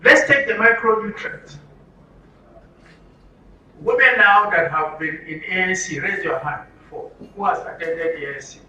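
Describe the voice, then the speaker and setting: A man's voice: high voice, a little slow, pushed and bright, muffled recording. A man with a Nigerian accent leading an interactive workshop lecture, quizzing listeners and repeating phrases in a didactic way. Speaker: trainer leading an orientation workshop for media workers. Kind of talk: interactive lecture with questions to the audience. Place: echoey room at a live workshop. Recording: roomy echo, distant mic, faint noise.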